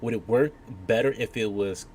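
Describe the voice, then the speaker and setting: A man's voice: lowish voice, moderate pace, darkish tone, a little hiss or hum. A man chatting casually on a panel in a slangy, informal way. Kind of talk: casual chat. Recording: clear crowd murmur behind.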